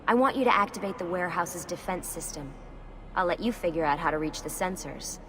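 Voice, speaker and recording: A woman's voice: fairly low pitch, a little fast, close to an everyday voice. A woman playing a serious, determined young team leader in a video game, matter-of-fact and guarded. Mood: brisk, instructing. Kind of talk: video game cutscene dialogue. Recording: clear background ambience noise.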